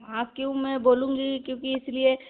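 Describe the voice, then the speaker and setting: Woman complaining on a phone call, high voice, tinny phone-line sound. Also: little pitch movement, a little fast, slight background noise. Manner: complaining, sulky.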